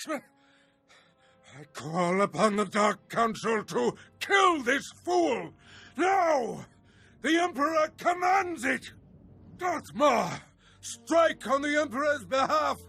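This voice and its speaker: An actor playing an arrogant, wrathful dark lord in a video game, declaiming in a pompous, theatrical, menacing way with a gravelly, forceful voice. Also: male voice, very high-pitched, big pitch swings, takes it slowly, full character voice. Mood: enraged, desperate. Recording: music under the voice.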